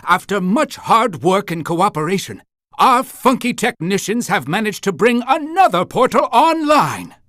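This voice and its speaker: A man's voice: high-pitched, big pitch swings, unhurried, a bit bright, dry recording, clean studio sound, exaggerated voice. A man voicing an eager, polite and fussy town-guide creature in a cartoon game, with theatrical announcing and awkward hesitations. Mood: proud, celebratory.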